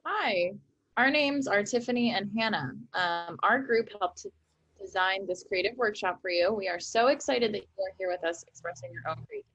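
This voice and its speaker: A woman's voice: medium pitch, lively intonation, brisk, fairly bright tone, breathy edge, lo-fi sound. A young woman presenting a workshop, cheerfully explaining each craft step as she works. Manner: upbeat, explains steps while working. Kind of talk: instructional craft demonstration.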